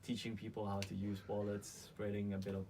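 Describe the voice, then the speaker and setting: A man from Malaysia speaking as a guest in a casual interview, earnest and halting in his delivery. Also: on the low side, completely flat delivery, dark tone. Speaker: interview guest, company founder. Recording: distant mic, faint background noise.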